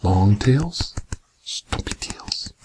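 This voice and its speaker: A man reading a picture book aloud in a soft, gentle, partly whispered ASMR bedtime style with casual asides, close to the microphone. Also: lowish voice, expressive, extremely slow. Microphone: close binaural microphone. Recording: clear background noise, binaural ASMR microphone.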